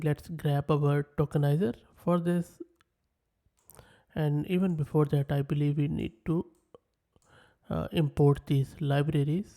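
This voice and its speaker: A man who is a native Urdu speaker, presenting a software tutorial in a methodical way and narrating each step as he types. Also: fairly high pitch, gravelly. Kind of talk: screen-share software tutorial.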